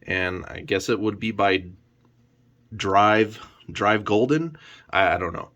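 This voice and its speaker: Low-voiced man giving a casual rambling review. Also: takes it slowly, fairly bright tone, highs cut off. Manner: rambling, hedging with frequent "you know". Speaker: YouTube collector reviewer. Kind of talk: casual unscripted review vlog.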